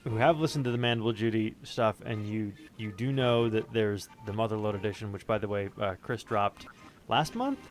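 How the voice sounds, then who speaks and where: A man's voice: fairly low pitch, normal speed. A man hosting a show in casual, conversational talk. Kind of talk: casual chat.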